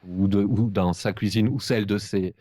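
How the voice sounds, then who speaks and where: A man hosting a casual podcast chat, speaking in halting, hesitant phrases. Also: on the low side, fairly even intonation, dark tone, dry recording. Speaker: podcast host.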